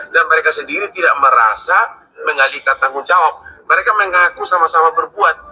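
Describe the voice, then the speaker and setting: A man giving commentary, reasoning deliberately through open questions. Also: on the high side, pushed and bright, slightly rough, rough recording. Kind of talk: spoken commentary.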